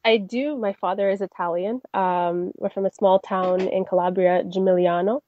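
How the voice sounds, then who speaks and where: A woman's voice: medium pitch, fairly even intonation, moderate pace, phone-quality sound. A young woman from Canada, a singer answering interview questions in a friendly, chatty way with frequent fillers. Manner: friendly, many fillers and restarts. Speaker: guest singer.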